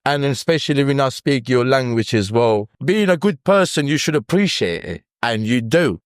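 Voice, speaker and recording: A man's voice: medium pitch, animated, normal speed, clear, resonant tone, no room sound, studio quality. A man whose first language is Pashto and who speaks English with a Cockney tinge. He tells his story earnestly in a casual interview, repeating phrases and using plain, broken grammar. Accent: Cockney-tinged London English over Pashto. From Afghanistan. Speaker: barber and podcast guest.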